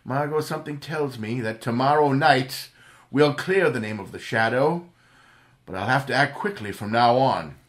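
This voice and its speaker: A man's voice: medium pitch, normal speed, a bit bright, close to an everyday voice. A man playing a cool, suave playboy sleuth. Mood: calm. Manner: cool, commanding, reassuring. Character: suave, calm playboy crime-fighter, adult man. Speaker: radio drama actor. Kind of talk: 1930s radio drama reenactment.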